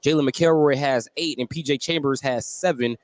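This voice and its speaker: A man's voice: medium pitch, moderate pace, no echo. A man working as play-by-play announcer, giving live basketball commentary on the radio.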